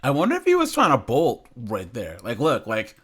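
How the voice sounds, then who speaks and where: A man's voice: medium pitch, normal speed, a little hiss or hum. Casual male reaction host, slight stutter. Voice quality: occasional stutter when excited.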